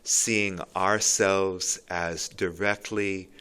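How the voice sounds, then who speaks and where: Slow, very clear-voiced thoughtful man. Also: level tone, sharp tone. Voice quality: low voice. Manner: thoughtful, earnest, with pauses and restarts. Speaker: literature commentator. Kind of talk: reflective spoken commentary on a poem.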